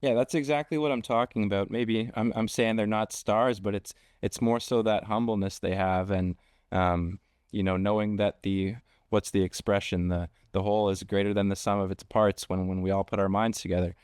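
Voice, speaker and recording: Male sports podcast host, lowish voice, casual and halting, thinking out loud, dry close sound. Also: fairly even intonation, clear, resonant tone, no echo. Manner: halting, thinks aloud, repeats words. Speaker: podcast host interviewing a guest.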